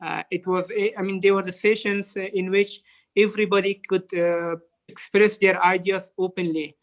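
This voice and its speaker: A man with an Afghan accent giving unscripted interview answers as a guest, courteous, halting and hesitant. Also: high voice, little pitch movement. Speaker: interview guest, development professional.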